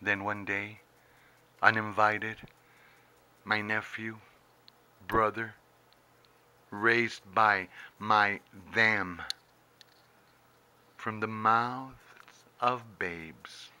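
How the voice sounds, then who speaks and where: A middle-aged man performs spoken-word poetry in a low, very forceful voice. He goes extremely slowly, leaving long pauses between short phrases. His delivery is intense and theatrical, recorded up close in a room. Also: fairly even intonation, clear, resonant tone. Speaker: poet reading own poem. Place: indoors, small book-lined room. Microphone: handheld camera very close to face.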